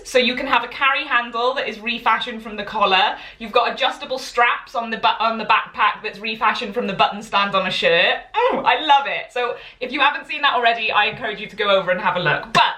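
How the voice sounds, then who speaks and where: Bright-voiced Welsh woman teaching sewing. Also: fairly high pitch, brisk, few pauses. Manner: rambling, prone to tangents; friendly and encouraging. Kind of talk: chatty live-stream tutorial. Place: home. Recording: echoey room.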